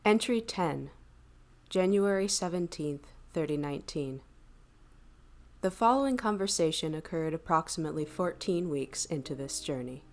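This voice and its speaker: An actress playing a composed, attentive shipboard attendant in an audio drama, speaking in a measured and careful way. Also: female voice, low-pitched, animated. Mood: formal, detached.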